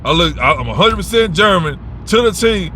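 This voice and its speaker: Blunt, profane man with a bright voice. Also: high-pitched, sing-song, a little fast, a little hiss or hum. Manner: blunt, rambling, profane, with many restarts. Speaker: host giving advice. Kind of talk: casual unscripted monologue.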